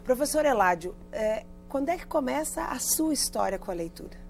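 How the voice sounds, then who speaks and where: A woman's voice: high voice, animated, fairly quick, creaky, soft background music. A woman hosting a studio TV interview, asking guests questions and prompting them with follow-ups. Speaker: TV interview host.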